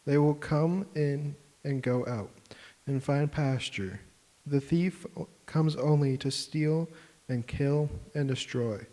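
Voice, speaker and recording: A man reading scripture aloud in church, measured and steady. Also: a little high. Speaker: scripture reader. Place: church sanctuary.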